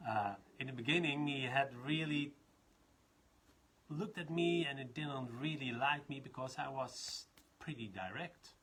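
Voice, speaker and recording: A man's voice: medium pitch, unhurried, fairly bright tone, slight background noise. A man from the Netherlands with a Dutch accent, a leadership trainer talking in a loose, rambling way with many restarts. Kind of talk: casual live talk.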